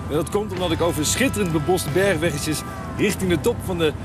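A man's voice: on the high side, quick pace. Upbeat man presenting a travel show. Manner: relaxed, enthusiastic, chatty. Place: outdoors on a mountain road and in a tent. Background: background music.

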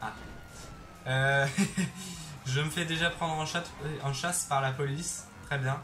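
A young man chatting casually over his gameplay on a headset mic, excitable and throwing in English exclamations. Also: a little high, slow. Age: young adult. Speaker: gaming YouTuber. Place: bedroom. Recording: roomy sound with game music behind.

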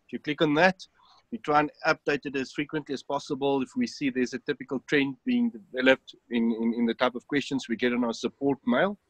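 A man calmly demonstrating an app to an online audience. His voice sits at an ordinary middle pitch, and the sound is dry and close. He explains step by step, filling pauses with ums. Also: no room sound, poor audio. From South Africa. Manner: explanatory, step-by-step with frequent fillers. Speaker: app developer giving a demonstration. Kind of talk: webinar walkthrough. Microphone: online call.

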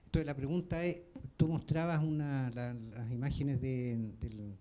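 A man from Chile in the audience making a measured comment and asking a question, pausing with fillers. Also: medium pitch, steady tone, mellow. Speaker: audience member. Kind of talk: question from the floor.

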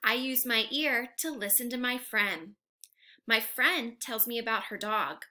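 A young woman reading aloud to small children like a teacher, asking simple questions in an animated, carefully enunciated way. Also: fairly high pitch, unhurried. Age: young adult. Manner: animated, exaggerated, enunciated for little learners. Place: living room at home, indoors.

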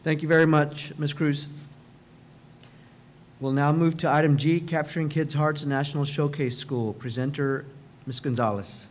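A man's voice: on the high side, steady tone, moderate pace, a little hiss or hum. A man chairing a school board meeting, politely thanking a speaker and introducing the next item. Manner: brief, polite procedural remarks.

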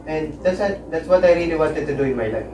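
A young man acts a frustrated son who wants his own path, in a high male voice. The amateur melodrama has echoey living-room sound and clear background noise. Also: lively intonation, fast, dark tone, natural voice. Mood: pleading. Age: young adult. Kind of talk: amateur one-act melodrama acting. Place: living room, far camera mic. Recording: echoey room, distant mic, noisy background.

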